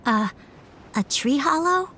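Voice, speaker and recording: A woman's voice: sits high, lively intonation, slow, a bit bright, a little hiss or hum, heavily acted. A woman voicing a shy, polite little child who speaks hesitantly in a video game. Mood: cheering up.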